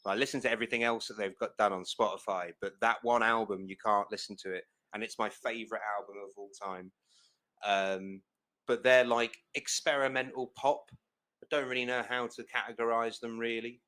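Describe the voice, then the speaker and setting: A man's voice: on the low side, little pitch movement, a little fast, bright, forceful tone. A young man hosting a casual film podcast, talking in an enthusiastic, rambling way with lots of fillers and restarts. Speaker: podcast host. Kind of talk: casual chat.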